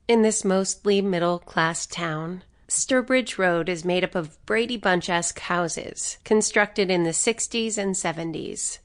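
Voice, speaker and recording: Lower-pitched woman narrating an audiobook. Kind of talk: scripted narration.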